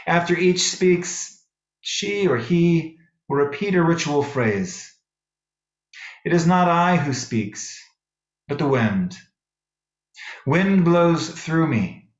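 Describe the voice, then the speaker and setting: A male minister with a slightly high voice delivers a sermon in deliberate, measured phrases, pausing between thoughts. The sound is clearly roomy and echoing, as in a worship space, and a little dull on the top end. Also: animated. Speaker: minister preaching to a congregation. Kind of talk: sermon with poetry readings.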